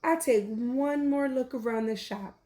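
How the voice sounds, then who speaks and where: A woman reading a picture book aloud like a teacher, with animated, deliberate delivery and questions for young listeners. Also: high voice. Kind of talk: expressive children's read-aloud with questions to listeners.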